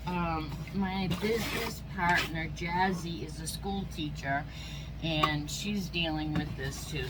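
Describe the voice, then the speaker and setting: Slow-talking stuffy-nosed woman crafter. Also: background noise. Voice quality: slightly squeaky. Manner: chatty, narrates each step as she works. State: stuffy nose with sniffles. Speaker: craft channel host. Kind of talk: casual how-to crafting chat.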